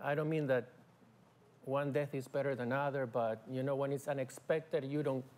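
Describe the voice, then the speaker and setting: A middle-aged man from Argentina, a guest musician, talking in a halting, casual way with fillers and restarts in a TV studio on a lapel mic. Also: mid-range voice, steady tone, darkish tone. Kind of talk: casual interview talk. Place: TV studio set.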